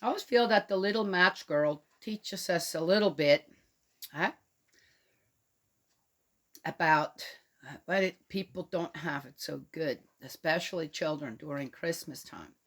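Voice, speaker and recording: A woman from Switzerland chatting gently to young children and reading them a picture book aloud. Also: sits low, measured pace, long pauses. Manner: gentle, chatty, talks directly to young listeners. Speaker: children's storyteller.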